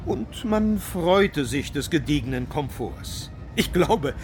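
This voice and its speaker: Casting: a genteel voyage guest, contented and lyrical, taking plenty of time, mid-range voice, music beneath. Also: male voice, on the high side, expressive. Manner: lyrical, unhurried. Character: genteel, contented traveller recalling a royal sea voyage. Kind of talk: literary memoir reading in radio drama.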